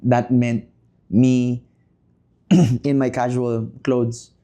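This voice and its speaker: A man, a podcast guest who talks through his answers with many pauses and self-deprecating asides. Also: mid-range voice, little pitch movement, warm tone. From Philippines. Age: 30s. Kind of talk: casual interview chat.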